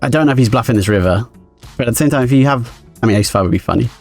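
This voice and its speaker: A man streaming his poker games live, thinking aloud quickly and analytically as he explains each decision. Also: medium pitch, darkish tone, faint music behind.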